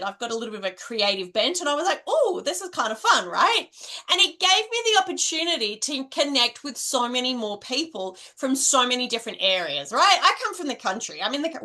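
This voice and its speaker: Middle-aged Australian woman, high and bright, chatty coach who keeps checking in with "right?". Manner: chatty, ends nearly every point with "right?". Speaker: direct selling coach. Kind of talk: casual coaching monologue to camera.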